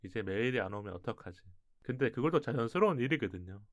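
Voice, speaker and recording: Male radio presenter, medium pitch, chatty and conversational delivery, very dry recording. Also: lively intonation, fast, no room sound. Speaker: radio show host.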